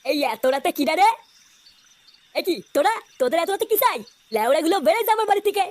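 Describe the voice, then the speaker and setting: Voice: extremely high pitch, expressive, fast, dry recording. A performer voicing a grumpy, greedy, cowardly village headman in a comic cartoon dub. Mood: irritated.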